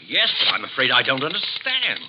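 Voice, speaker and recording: An actor playing a bewildered, hesitant art client in a 1950s radio drama, halting and flustered. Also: male voice, mid-range voice, huge pitch swings. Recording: dull old radio, faint noise.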